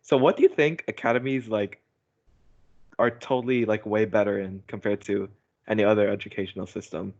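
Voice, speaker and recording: A man's voice: on the low side, fairly even intonation, brisk, a little mellow. A young man chatting casually and in a rambling, giggly way as a podcast host on a voice call. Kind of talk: casual chat. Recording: dull, lo-fi call audio.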